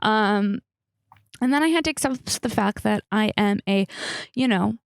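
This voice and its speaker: A woman in her thirties with a creaky voice, chatting casually and candidly as a comedic podcast host, rambling and poking fun at herself. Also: medium pitch, unhurried, no echo, studio quality. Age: 30s. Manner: rambling, candid, self-mocking, casual slangy talk. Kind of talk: casual solo podcast chat, comedic storytelling.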